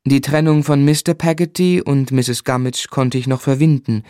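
An actor narrating a radio play as a grown man gently and reflectively recalling his boyhood, in measured literary style. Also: mid-range voice, clean tone, dry recording, studio quality, close to an everyday voice. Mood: wistful.

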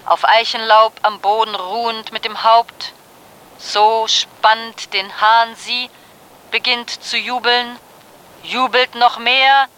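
An actress playing a narrator who declaims verse in a measured manner for a radio drama. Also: female voice, on the high side, flat delivery, pushed and bright, smooth, poor audio. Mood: solemn, deliberate.

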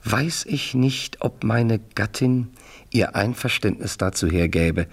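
Deep, gravelly, mocking marquis. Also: male voice, lively intonation, heavily acted. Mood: smug needling. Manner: mock-courteous, smug, measured, pointed pauses. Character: suave, mocking French marquis acting as financial adviser. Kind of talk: 1970s German radio play acting.

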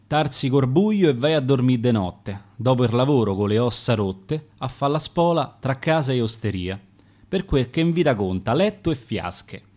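A worn-out bricklayer speaks from the grave in coarse Roman dialect rhyme, read aloud by a man. The pitch moves a great deal around a middle height. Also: expressive, moderate pace, darkish tone, close to an everyday voice. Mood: weary. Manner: reciting dialect verse in rhyme. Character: dead worn-out bricklayer, weary and sour.

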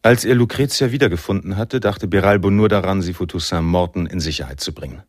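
Actor as a deep-voiced, sombre noir narrator. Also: male voice, quick pace, no room sound, studio quality, natural voice. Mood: reflective, melancholy. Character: sombre, reflective male noir narrator, world-weary. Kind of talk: scripted literary narration in a German radio drama.

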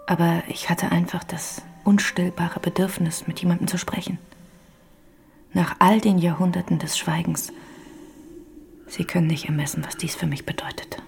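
Hushed, melancholy ghost woman, low-voiced. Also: level tone, normal speed, gravelly, whispered, soft background music. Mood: wistful, solemn. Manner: formal, archaic, solemn diction. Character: melancholy female ghost haunting a castle for centuries. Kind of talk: radio drama acting.

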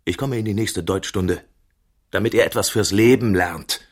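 A man's voice: on the low side, normal speed, slightly rough. An actor playing a stubborn, embittered middle-aged father in a radio drama, insistent and terse. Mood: bitter, agitated. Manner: insistent, terse, emphatic repetition.

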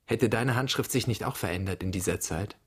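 A man acting an anguished son haunted by his father's guilt, speaking in deliberate, poetic, questioning fragments in a radio drama. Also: lowish voice, husky. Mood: accusing, insistent. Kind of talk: stylized German radio drama monologue.

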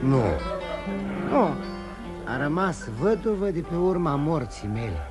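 An actor in a radio play voicing a ruined drinking man who confesses his failed life with self-loathing, halting and repeating himself. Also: on the high side, sing-song. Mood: weary, confiding. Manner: rambling confession, halting with emotional repetitions. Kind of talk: theatrical radio drama acting. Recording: radio play with guitar music behind.

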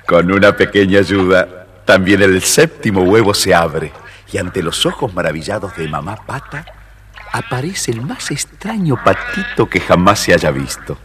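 A man narrating a scripted children's story in an expressive, measured way. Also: deep voice, a crowd in the background.

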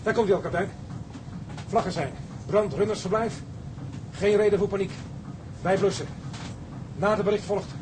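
A man's voice: sits high, moderate pace, long pauses, noisy background, close to an everyday voice. A man playing a dutiful bosun in a Dutch maritime radio drama, reporting in a clipped, urgent way. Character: eager, dutiful male bosun reporting to his captain.

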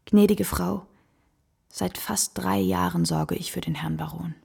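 An actress playing a worldly, self-possessed kept woman of the theatre in a radio play, courteous and theatrical, with a husky, gravelly voice. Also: fairly low pitch, fairly even intonation, measured pace, darkish tone, heavily acted. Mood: composed, discreet. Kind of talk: German radio play acting.

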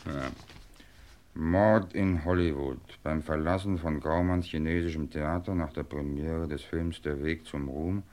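An actor playing a Hollywood film star in a radio crime drama, speaking in a casual, wry, conversational way. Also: male voice, extremely low pitch, a little mellow, exaggerated voice. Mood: stunned. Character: grumpy, charming male Hollywood film star.

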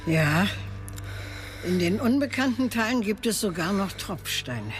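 A woman's voice: medium pitch, lively intonation, a little slow, faint music behind, natural voice. An actress playing a blunt, scolding, secretive old woman in a radio drama. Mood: guarded. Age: older. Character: gruff, secretive old woman living in a cave. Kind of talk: German radio drama acting.

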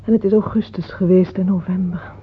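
A woman's voice: fairly low pitch, fairly even intonation, a little fast, husky. An actress in a Dutch radio play, playing an earthy, plain, motherly middle-aged mistress who speaks frankly, tenderly and teasingly, without hurry. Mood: wry, wistful. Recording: muffled tinny old radio play recording.

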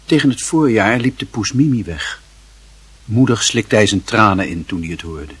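A man with a husky voice reading scripted literary narration for a radio play. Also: fairly low pitch, animated, moderate pace, a bit bright.

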